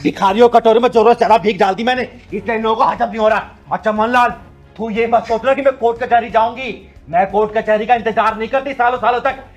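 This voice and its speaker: A man's voice: very high voice, steady tone, fast talker, soft background music. A man acting a fierce, protective kinnar elder who scolds, threatens and boasts in a melodramatic short film. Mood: defiant, commanding. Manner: scolding, threatening, boastful, speaks of self by name. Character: Chingari, fierce kinnar (transgender woman) avenger, protective elder.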